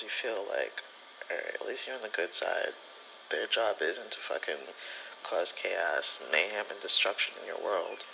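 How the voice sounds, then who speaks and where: Man, very bright voice, creaky fry, candid casual rambling, slangy and sweary, faint background noise. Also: fairly low pitch, low-quality recording. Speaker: spirituality content creator. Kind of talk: casual personal storytelling, ASMR vlog.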